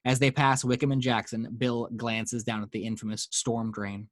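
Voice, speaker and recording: A man's voice: medium pitch, level tone, normal speed, dry recording, studio quality. A man reading action descriptions as narrator in a dramatic screenplay table read. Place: at home.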